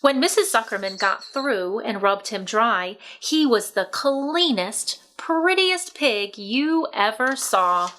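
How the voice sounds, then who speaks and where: A woman reads a children's story aloud with expression. Her voice is bright and forward, and she goes slowly, placing each phrase with care. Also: a little high. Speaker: narrator reading a children's book aloud.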